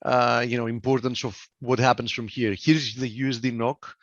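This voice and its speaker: A man with a Greek accent walks through currency charts and economic numbers, hesitating often with fillers. His voice sits at a middle pitch. It comes over dull, lo-fi call audio in a dry space. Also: flat delivery, normal speed, fairly bright tone, no echo. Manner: hesitant, many fillers, reads data aloud. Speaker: market analyst. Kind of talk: live webinar market analysis.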